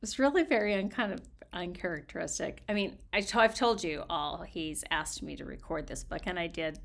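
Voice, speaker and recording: A woman storyteller talking casually and reading aloud with expressive, dramatic emphasis. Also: on the low side, brisk, gravelly.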